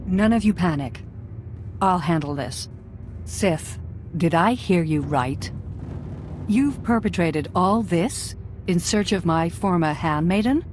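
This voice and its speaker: A woman's voice: fairly low pitch, lively intonation, slow, pauses often, a little mellow, slight background noise. A woman playing a hard-headed, proud military general in a video game, speaking in a commanding, pragmatic way. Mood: cool defiance. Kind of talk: video game dramatic acting.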